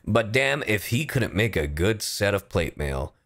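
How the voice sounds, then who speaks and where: A man's voice: lowish voice, a little slow. A man doing live gameplay commentary as a streamer, playful and freely swearing. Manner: animated, playful, profane. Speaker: VTuber game streamer.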